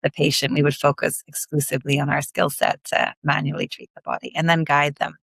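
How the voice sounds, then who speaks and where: A woman osteopath from Belgium, raised in London, with a blended American, Belgian and British accent, explaining her work in measured terms in a podcast interview. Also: deep voice, little pitch movement, vocal fry, fairly bright tone, husky, no echo, clean studio sound. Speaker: manual osteopath guest.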